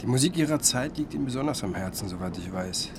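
An actor playing an earnest, curious younger music scholar in a radio drama, speaking politely and thoughtfully. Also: male voice, mid-range voice, animated, fast talker, noisy background, lightly acted. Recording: music behind the voice.

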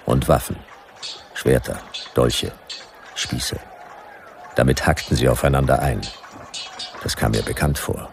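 A man's voice: rumbling low, fairly even intonation, unhurried, vocal fry, pauses often, noisy background, exaggerated voice. A man playing a hard-boiled private detective, narrating a radio play in dry, clipped first-person sentences. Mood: dry, wry.

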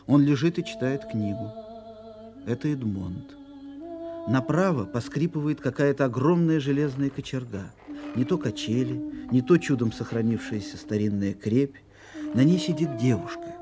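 An actor playing a solemn narrator of an audio play, deliberate, with dramatic pauses. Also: male voice, medium pitch. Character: solemn atmospheric stage narrator. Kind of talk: theatrical scripted narration. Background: music.

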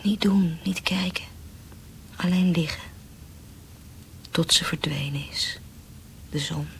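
A woman's voice: on the low side, takes it slowly, gravelly, a little hiss or hum. An actress playing a tender, protective young woman caring for a sick beloved, soothing and intimate, in a radio drama. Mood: hushed, protective. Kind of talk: Dutch radio drama acting.

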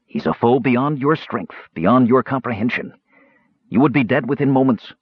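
A man's voice: medium pitch, moderate pace, husky, no room sound, lo-fi sound, voice pushed up, natural voice. A man voicing a frail, bitter mage with a cold, sarcastic, demanding manner in a fantasy audiobook. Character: frail, bitter, sharp-tongued male mage.

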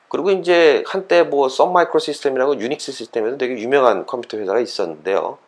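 A man giving an explanatory, lecture-style talk as a host, conversational and fond of posing rhetorical questions. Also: mid-range voice. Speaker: host of an educational talk series for parents and children.

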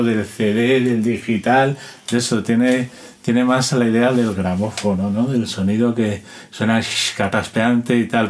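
A man's voice: medium pitch, a little hiss or hum. A man talking informally, explaining and telling anecdotes like an interviewed musician. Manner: informal, demonstrates rhythms with spoken syllables. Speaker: interviewed musician and instrument collector. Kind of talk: casual explanatory talk with anecdotes.

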